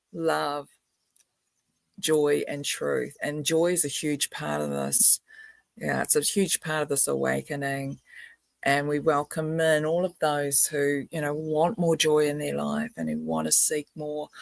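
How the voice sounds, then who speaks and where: An older woman from New Zealand speaks in a soft, wandering way, often breaking into laughter. Her voice has a gravelly edge. The sound is dry and close, like a video call. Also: low-pitched, no room sound. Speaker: spiritual grandmother representative. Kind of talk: casual spiritual talk.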